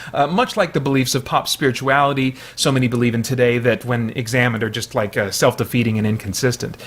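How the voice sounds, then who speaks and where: A man's voice: on the high side, a bit bright. A man hosting a video, giving analytical commentary in a conversational, explanatory way. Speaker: video host.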